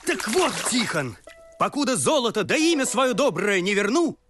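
A man's voice: high-pitched, big pitch swings, normal speed, fairly bright tone, faint music behind. An actor playing an earnest, boastful young strongman hero in a cartoon, with a naive, declamatory manner. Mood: earnest resolve. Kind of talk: animated film acting.

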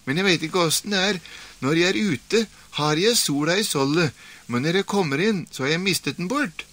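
A man's voice: on the high side, lively intonation, fairly bright tone, pitched above the performer's own voice. A man voicing a confused old woman in a folk tale who tries to carry sunlight indoors, talking in a fretful, puzzled way.

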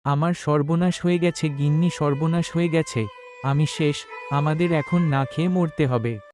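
A man's voice: fairly high pitch, steady tone, moderate pace, a little mellow, close to an everyday voice. A man playing a distraught, poor middle-aged village father and labourer in a cartoon story, lamenting his lot. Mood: despairing.

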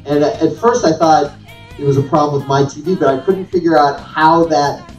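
A man's voice: a little high, moderate pace, a little mellow. A man casually telling an anecdote as an interview guest, recorded in a room at a distance. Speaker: interview guest, show creator. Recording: echoey, distant, dull room audio. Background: faint music.

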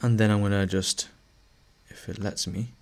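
A male teacher narrating a screen-recorded lesson, a little hoarse as he is losing his voice, talking through each step with fillers and restarts. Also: fairly low pitch, normal speed. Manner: relaxed, thinking aloud with fillers and restarts.

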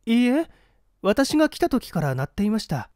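A man voicing a high, polite young lady. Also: sing-song, moderate pace, darkish tone, no echo, clean studio sound. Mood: polite, composed. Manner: courteous, refined feminine speech. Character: polite, composed young lady witness in a mystery.

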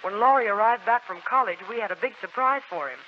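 A woman's voice: fairly high pitch, fast, close to an everyday voice. A woman playing a spirited, impulsive young sister in 1940s radio acting. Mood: narrating. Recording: noisy, dull 1940s radio recording.

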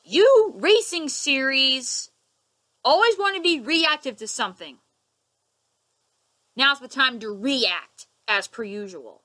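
A young woman vents into her webcam from her living room, sarcastic and indignant. Her voice is high, bright and edgy, and it leaps up and down as she hammers her point home. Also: lively intonation, slow pace, pauses often. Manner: indignant, sarcastic, gestures a lot. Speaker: vlogger. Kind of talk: ranting webcam vlog. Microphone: webcam at close range.

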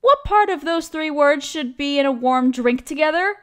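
A cute young female barista played by a woman with a high, girlish voice. She chats expressively in a casual audio roleplay, a friendly coworker who teases and complains playfully. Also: animated, normal speed. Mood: weary, teasing. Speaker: voice actress. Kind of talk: scripted one-sided audio roleplay, casual chat.

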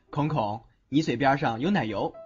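A man's voice: mid-range voice, very animated, slow. A young man acting a playful but protective young man in a Chinese audio drama. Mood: teasing. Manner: playful, teasing. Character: teasing, protective young man with secret tenderness. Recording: dull lo-fi audio with music.

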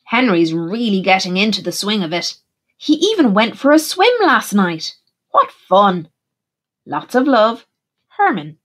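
A woman's voice: mid-range voice, very animated, measured pace. A woman voicing a cheerful, polite, enthusiastic male bear in a children's storybook. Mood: excited, cheery. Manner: bright-spirited, eager, polite.

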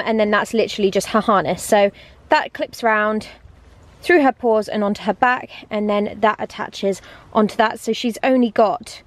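A young adult woman, chatting casually and informally to camera as a vlogger. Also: on the high side. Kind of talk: casual vlog chat to camera.

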